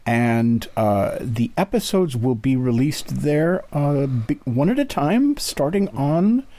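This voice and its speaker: A man's voice: mid-range voice, sing-song, slow, warm tone. A man hosting a radio show, interviewing and reading announcements.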